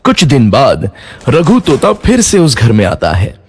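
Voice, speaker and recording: A man narrating a children's cartoon story in a lively, expressive way. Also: mid-range voice, a little slow, slight background noise.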